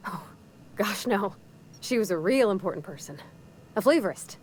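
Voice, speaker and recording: A woman's voice: medium pitch, lively intonation, normal speed, a little hiss or hum, lightly acted. An actress playing an earnest, shy young woman mechanic in a frontier colony video game, speaking in a hesitant, folksy, polite way. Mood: thoughtful, earnest.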